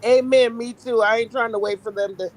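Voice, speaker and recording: A woman's voice: fairly high pitch, fairly even intonation, fairly quick. A woman of fifty speaking earnestly in a casual conversation.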